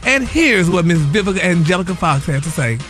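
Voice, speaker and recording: A man's voice: sits high, very animated, fairly quick, loud music behind the voice. A man delivering radio celebrity gossip in a sassy, familiar manner. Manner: sassy, peppered with honey and baby. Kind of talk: gossip segment on morning radio.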